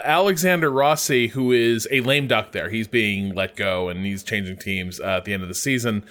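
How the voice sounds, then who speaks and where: A man's voice: a little high, a bit bright. Casual man co-hosting a motorsport podcast. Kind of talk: casual excited storytelling.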